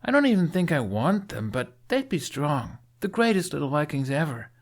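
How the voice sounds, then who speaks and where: A man's voice: on the high side, sing-song, pitched above the performer's own voice. A proud young Viking shieldmaiden, voiced by a male narrator in a fantasy audiobook. The voice is lifted well above his own, emphatic and drawn out, defensive with an undertone of doubt. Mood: wistful, boastful.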